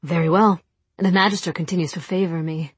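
A woman voicing a suave, possessive young gentleman in an audiobook, soft and condescending. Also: lowish voice, steady tone, brisk, husky, no room sound. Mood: relaxed, satisfied. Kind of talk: audiobook character dialogue.

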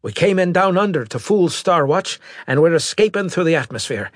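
A man voicing a brash, excitable male ace pilot in an audiobook, with cheeky, urgent military talk. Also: on the high side, normal speed, dry recording, studio quality, pitched above the performer's own voice. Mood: brisk, confident. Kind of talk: audiobook character acting.

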